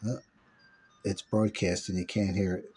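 A man's voice: low voice, level tone, a little slow, darkish tone. A man giving a casual, folksy hands-on demo, talking informally to viewers as he handles a radio. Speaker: CB radio owner demonstrating a set. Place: indoors, small room at home. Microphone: handheld phone filming the radio. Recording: noisy phone-video audio with radio hiss. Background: clear noise and CB radio sound.

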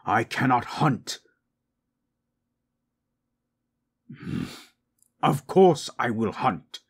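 A man voicing a proud, possessive forest monster in human shape, gravelly and husky, speaking in stilted, imperious phrases for an audio roleplay. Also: mid-range voice, expressive, heavily acted. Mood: grumbling, irritated. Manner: slow, imperious, formal. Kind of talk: dramatic audio roleplay, stilted formal speech.

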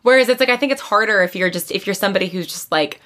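A woman's voice: medium pitch, very fast, bright, forceful tone. A woman guest on a podcast, chatting casually about fiction in an informal way, saying "like" often. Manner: informal, peppered with "like".

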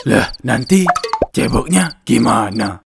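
A man's voice: mid-range voice, very animated, slow pace, vocal fry, exaggerated voice. A man voicing a simple-minded, oddly polite madman in a comedy cartoon, with music and night insects behind. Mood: uneasy, naive.

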